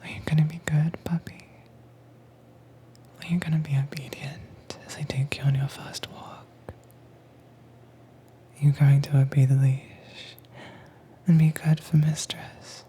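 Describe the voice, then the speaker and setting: A woman playing a dominant, teasing mistress in an intimate roleplay audio, soft-spoken and coaxing but commanding, with a breathy, whispery voice. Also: low voice, flat delivery, unhurried, long pauses, slight background noise. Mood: calm, controlling. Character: dominant, teasing mistress training her werewolf pet.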